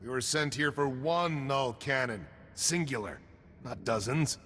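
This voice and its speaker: Earnest, bright-voiced soldier engineer played by a man. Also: medium pitch, measured pace, big-room sound, a little hiss or hum, close to an everyday voice. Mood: urgent, frustrated. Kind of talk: video game voice acting.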